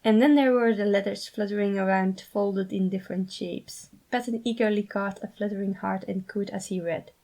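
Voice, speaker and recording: Medium-pitched woman narrating a story. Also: steady tone, moderate pace, few pauses, warm tone, low-quality recording. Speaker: writer and narrator.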